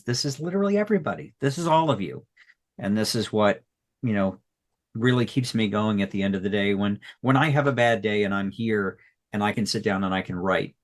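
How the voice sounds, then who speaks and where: A man speaking earnestly and sentimentally as a podcast creator in an unscripted retrospective, often repeating phrases for emphasis. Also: fairly low pitch. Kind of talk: heartfelt unscripted retrospective talk.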